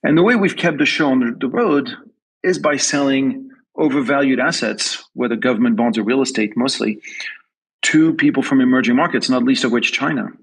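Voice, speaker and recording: A man's voice: mid-range voice, a little fast, vocal fry, breathy edge, low-quality recording. A man from France with a slight French accent, answering interview questions thoughtfully and often repeating words as he thinks aloud. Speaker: guest investment strategist.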